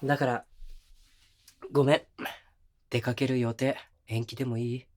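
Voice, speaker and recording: A man acting as a considerate but oblivious young boyfriend in an intimate audio drama, talking casually and softly with pauses. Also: mid-range voice, flat delivery, lightly acted. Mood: puzzled, defensive. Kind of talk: intimate situation-voice audio drama, naturalistic one-sided dialogue.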